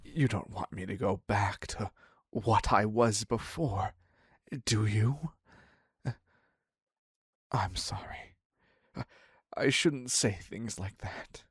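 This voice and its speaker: A man playing an obsessive, needy captor devoted to his captive in an intimate dark romance roleplay, husky and halting, often dropping into a whisper. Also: fairly low pitch, no echo, studio quality, exaggerated voice. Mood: pleading, desperate. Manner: halting, pleading, very slow with long pauses.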